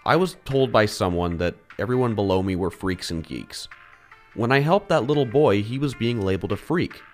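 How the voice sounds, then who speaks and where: A man playing a rich high school boy in an audio drama, earnest and questioning in his manner. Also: lively intonation, normal speed, faint music behind, natural voice. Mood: troubled, questioning. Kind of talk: amateur audio drama acting.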